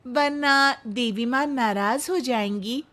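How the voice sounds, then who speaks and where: A woman playing a dutiful young daughter-in-law who politely protests in a comic animated Hindi story. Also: fairly high pitch, slow. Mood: sarcastic.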